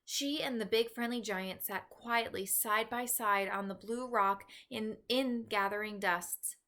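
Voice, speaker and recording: A woman reading a children's book aloud as an expressive narrator. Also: a little high, measured pace. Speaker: storybook reader.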